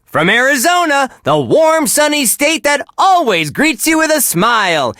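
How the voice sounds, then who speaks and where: An actor playing a relentlessly cheerful, wholesome radio DJ in a video game, gushing with folksy exclamations. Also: male voice, very high voice, bright, forceful tone, no echo, studio quality, exaggerated voice. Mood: upbeat, chipper. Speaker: radio DJ host.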